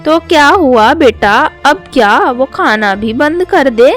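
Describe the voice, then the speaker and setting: Woman as an exasperated, high-voiced scolding mother. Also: expressive, slow pace, soft background music. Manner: scolding, no-nonsense. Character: exasperated middle-aged mother, sensible and scolding.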